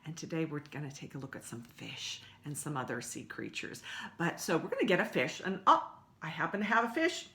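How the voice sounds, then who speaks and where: A woman's voice: low-pitched, expressive, brisk, bright, forceful tone. A woman leading a story time for young children, speaking in simple, encouraging words as she explains songs, stories and activities. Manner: simple, encouraging address to small children with repetition.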